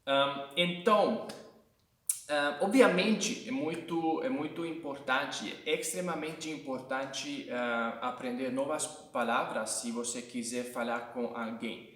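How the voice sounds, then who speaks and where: A man's voice: medium pitch, slow pace, fairly bright tone. A Hungarian man with a Hungarian accent and Hungarian as his first language gives casual language-learning tips, hesitating often, with many fillers and restarts. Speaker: language-learning video host. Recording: echoey room, mic at a distance.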